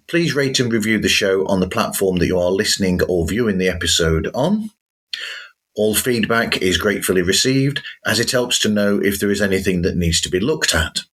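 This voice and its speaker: A man hosting a podcast monologue, giving his opinions in a conversational, emphatic way with a husky voice. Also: on the low side, fairly bright tone. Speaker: podcast host. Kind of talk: podcast monologue, part scripted, reviewing other shows.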